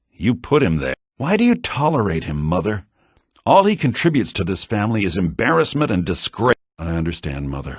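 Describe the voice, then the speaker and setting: A male actor playing a brusque, self-assured townsman in a fantasy video game, with a husky voice and a theatrical, deliberate delivery. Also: medium pitch, dry recording, clean studio sound. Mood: haughty, menacing. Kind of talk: fantasy role-playing game character dialogue.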